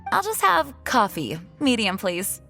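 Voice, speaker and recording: An actress playing a dutiful, earnest young woman leader in a video game, speaking politely and formally in a measured way. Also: on the high side, very animated, gravelly, music in the background, exaggerated voice. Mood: polite, composed.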